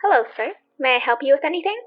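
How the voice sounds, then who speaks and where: An actress playing a polite, chatty young shop assistant with a wry streak in an audio drama. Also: female voice, high-pitched, animated, rapid-fire, noisy background. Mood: helpful, friendly. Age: young adult. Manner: courteous, helpful, wry. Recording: muffled sound with music behind.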